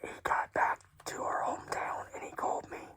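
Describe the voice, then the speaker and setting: A woman whispering a personal story in a hushed, tearful, casual way, swearing freely. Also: normal speed.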